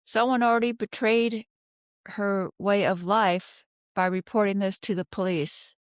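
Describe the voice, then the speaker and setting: A woman host reads tarot cards in a slow, deliberate delivery. Her voice is smooth and clear, recorded close and dry. She thinks out loud, pausing often and putting questions to the air. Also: mid-range voice, fairly even intonation, no room sound. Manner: halting, pondering aloud with rhetorical questions. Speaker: tarot card reader, host. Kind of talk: unscripted tarot reading commentary.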